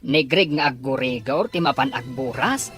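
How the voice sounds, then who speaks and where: A man's voice: medium pitch, animated, normal speed, a bit bright, faint music behind, close to an everyday voice. A man with an Ilocano accent playing a weary, stern farmer father in a radio drama.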